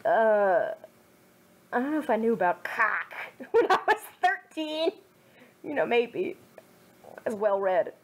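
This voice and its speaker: A woman's voice: fairly high pitch, sing-song, takes it slowly, pauses often. A young woman reading her own fan fiction aloud, then adding wry, self-mocking commentary.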